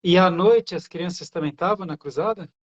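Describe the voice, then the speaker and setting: Apresentador brasileiro, voz um pouco aguda. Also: male voice, sits high, fairly quick. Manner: asks questions, encouraging remarks. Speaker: church show host. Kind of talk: live church interview. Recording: dull, lo-fi audio.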